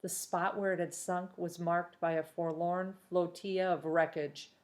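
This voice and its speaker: A woman reads a children's novel aloud in an expressive storytelling style, shaping the phrases with the drama of the tale. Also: fairly low pitch. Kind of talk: expressive storybook narration with brief asides to listeners.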